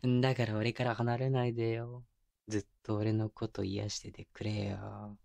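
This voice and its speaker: A man acting as a tender young boyfriend in an ASMR audio drama. He speaks in a soft, breathy murmur, very close and dry on a binaural mic. His manner is doting and teasing, slipping into coaxing baby talk. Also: fairly low pitch, flat delivery, moderate pace, no room sound. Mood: playful, affectionate. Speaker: voice actor. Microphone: close binaural microphone, dry.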